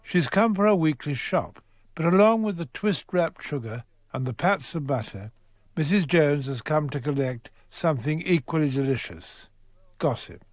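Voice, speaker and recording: Male narrator for period radio drama: slightly high voice, close dry sound, wry storytelling. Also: lively intonation, normal speed, dry recording.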